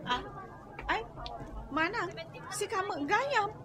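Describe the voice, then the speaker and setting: A woman's voice: very high-pitched, expressive, slow, crowd noise, noisy background, heavily acted. A woman playing an exasperated young wife in a radio comedy-drama, speaking with an Ilocano accent. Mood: meek.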